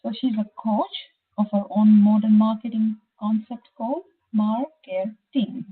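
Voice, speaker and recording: A woman's voice: medium pitch, steady tone, unhurried, slightly rough. A woman hosting a radio interview who talks enthusiastically and affirms her guest often. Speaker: radio show host. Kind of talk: conversational interview. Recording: tinny phone-like sound.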